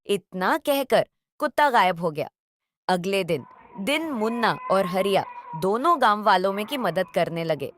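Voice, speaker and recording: A woman's voice: mid-range voice, lively intonation, a little slow, fairly bright tone. A woman telling a story as narrator, reading scripted narration. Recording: faint background noise.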